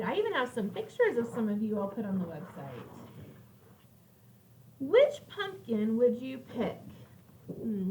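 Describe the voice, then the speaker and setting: A woman's voice: high-pitched, darkish tone. A woman teacher reading aloud to young children, explaining things in simple words and putting questions to her listeners. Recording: distant microphone with faint background noise.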